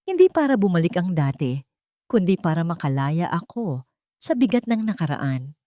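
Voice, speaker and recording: A woman voicing a gentle, wise middle-aged father who speaks calmly and clearly. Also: on the low side, normal speed, no echo, clean studio sound. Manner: calm and clear.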